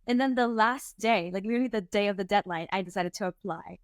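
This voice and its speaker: A young woman in her twenties from Venezuela, telling her story as an interview guest in a chatty, animated way with many fillers. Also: medium pitch, fast talker, dry recording, studio quality. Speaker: startup co-founder, guest. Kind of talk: casual interview talk.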